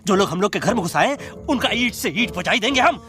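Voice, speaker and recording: A man voicing a blustering monkey army commander in an animated film, mocking and bombastic, with a Bhojpuri-flavoured Hindi accent. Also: sits high, fast talker, soft background music. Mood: angry, rousing. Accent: Bhojpuri-influenced eastern Hindi.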